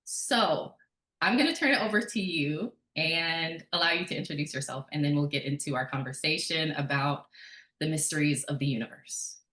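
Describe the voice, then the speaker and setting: Low-voiced woman hosting in a roomy space. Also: normal speed, fairly bright tone. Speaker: podcast host. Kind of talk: conversational podcast interview hosting.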